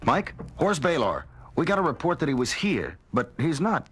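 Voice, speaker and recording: An actor playing a firm, suspicious space base commander in an audio drama, with an urgent, questioning manner. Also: male voice, fairly high pitch, big pitch swings, normal speed, background noise. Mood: wary, businesslike. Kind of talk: 1970s audio drama acting. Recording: music under voice.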